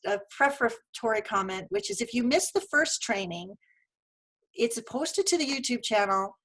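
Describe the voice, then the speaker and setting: A woman's voice: on the high side, big pitch swings, normal speed, fairly bright tone, breathy edge, rough recording. A woman hosting a live webinar over a video call, upbeat and effusive as she introduces her guest. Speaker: host.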